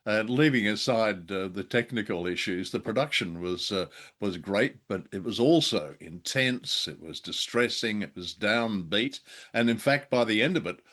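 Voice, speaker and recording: A man's voice: lowish voice, lively intonation, normal speed, fairly bright tone. A man co-hosting a casual discussion show, speaking in a hesitant, wry, self-deprecating way. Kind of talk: casual discussion of an old radio drama.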